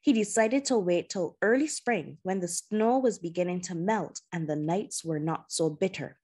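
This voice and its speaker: A woman narrating a children's novel in audiobook style, with measured, deliberate phrasing and pauses. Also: on the low side, a little mellow, slightly rough.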